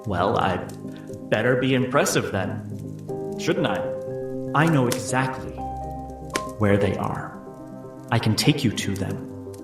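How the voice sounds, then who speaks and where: A man's voice: fairly low pitch, animated, slow, pauses often, roomy sound. Man playing a smug, refined young nobleman over music. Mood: smug, bargaining.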